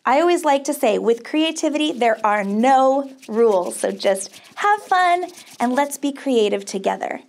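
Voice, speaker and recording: A woman's voice: sits high, lively intonation, unhurried, creaky. A middle-aged woman hosting a children's craft tutorial as a camp counselor, encouraging and upbeat as she talks to kids. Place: small studio set, indoors.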